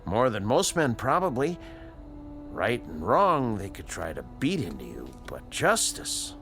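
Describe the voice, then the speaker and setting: An actor playing an educated, earnest scientist in a radio drama, American, measured and questioning. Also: male voice, mid-range voice, wildly swooping pitch, fairly bright tone, soft background music, exaggerated voice. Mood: grave, probing. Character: earnest scientist, educated, patient, morally probing male doctor.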